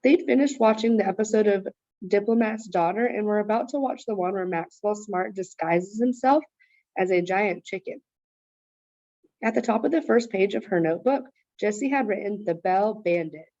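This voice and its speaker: A woman's voice: mid-range voice, normal speed, warm tone. Woman doing a read-aloud of a kids book, kinda muffled lofi audio, no echo. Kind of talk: read-aloud storybook narration.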